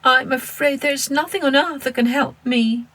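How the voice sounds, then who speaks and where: A woman's voice: sits high, moderate pace, fairly bright tone, long reverb tail, far from the mic, pitched above the performer's own voice. A woman voicing a meek, pleading young shepherd girl held as a servant in a children's story. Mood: sad, hopeful. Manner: timid, pleading, polite.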